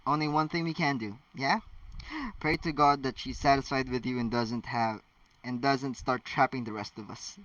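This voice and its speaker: A man's voice: medium pitch, steady tone, normal speed, fairly bright tone, dry recording, far from the mic, lo-fi sound, natural voice. Cocky, pushy teenage wizard mate, storybook style, played by a man. Slightly off-mic. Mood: resigned.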